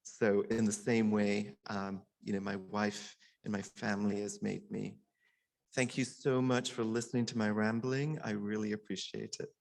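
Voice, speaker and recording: Man, middle-aged, low gravelly voice, earnest informal conference speech, stammered repeats. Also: level tone, normal speed, a little mellow. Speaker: surgeon giving a conference talk.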